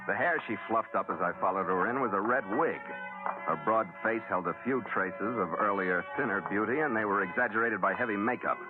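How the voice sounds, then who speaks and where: A low-pitched American sea captain, played by a man in a 1940s radio adventure, speaks with dry, understated wryness. He is laconic and guarded, heard through a thin, tinny old broadcast with music behind it. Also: a little fast, lightly acted. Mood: wry, narrating. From United States. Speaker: lead actor and narrator. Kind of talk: 1940s radio adventure acting, first-person narration.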